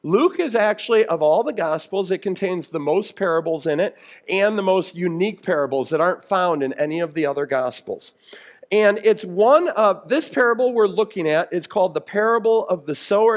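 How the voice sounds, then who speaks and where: Man, pastor, high voice, conversational sermon, plain-spoken teaching, explanatory. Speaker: pastor preaching.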